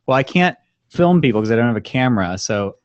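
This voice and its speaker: A man's voice: mid-range voice, animated, quick pace, no echo. Casual male co-host, dull dry audio. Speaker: podcast co-host. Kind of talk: casual chat.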